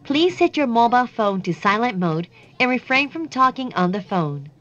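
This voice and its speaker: A woman giving clear recorded English announcements for a train. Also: a little high, very animated, slow, fairly bright tone, no echo. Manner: clear, evenly paced announcer delivery. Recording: dull dry sound over train speakers.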